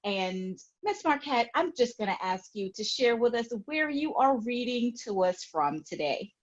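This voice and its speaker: Excited lady hosting a kids story show, kinda high voice, takes her time, bit dull audio. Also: a little slow. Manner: gushing, excited. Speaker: host of children's read-aloud show. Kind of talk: enthusiastic show hosting, chatting to children.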